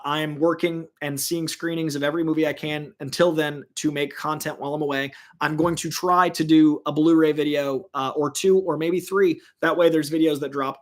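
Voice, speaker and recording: A man hosts a comics news livestream in a loose, rambling way, full of fillers and quick restarts. His voice sits a little high for a man. He speaks casually and directly to his viewers. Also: fairly bright tone. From born in Virginia, raised in Massachusetts.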